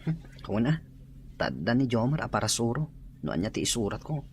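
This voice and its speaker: Woman playing a low-pitched, animated teenage boy. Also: fairly quick, slight background noise. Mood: reluctant. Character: joking, easygoing teenage schoolboy. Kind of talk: radio drama acting.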